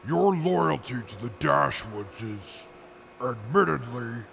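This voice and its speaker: A man as a slow, gravelly, haughty adventurer. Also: mid-range voice, lively intonation, fairly bright tone, slight background noise, exaggerated voice. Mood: grudging respect. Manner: stiff, formal, condescending. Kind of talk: audio drama acting.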